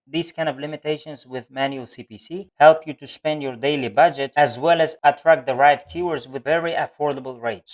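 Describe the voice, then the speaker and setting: A man giving instructional narration for a screen-share tutorial, explaining things step by step as an online tutor. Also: fairly high pitch.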